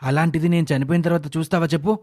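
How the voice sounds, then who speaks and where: A man voicing a caring middle-aged father and husband, earnest and reasoning, in an animated moral story. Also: fairly high pitch, rapid-fire, natural voice. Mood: firm, reproachful.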